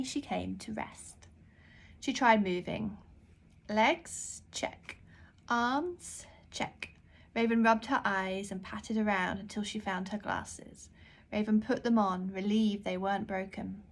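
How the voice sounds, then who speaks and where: Higher-pitched woman reading a children's story. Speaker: author reading her book aloud.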